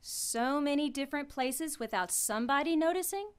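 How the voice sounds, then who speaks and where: A woman's voice: high-pitched, lively intonation, moderate pace. A woman playing a worried, loyal companion. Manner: earnest and worried. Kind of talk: radio drama acting.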